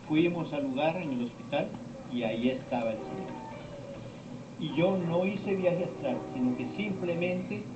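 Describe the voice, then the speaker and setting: A middle-aged man, a teacher of esoteric topics, giving a slow, rambling informal lecture with many pauses and quoted dialogue. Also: fairly high pitch. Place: lecture room. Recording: echoey room, distant mic, dull lo-fi sound, noisy.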